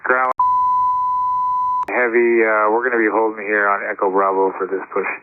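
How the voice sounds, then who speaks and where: A man's voice: fairly low pitch, fairly even intonation, normal speed. A man making a pilot's brief, procedural call to ground control over aviation radio. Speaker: airline pilot. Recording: narrow, slightly noisy cockpit radio transmission.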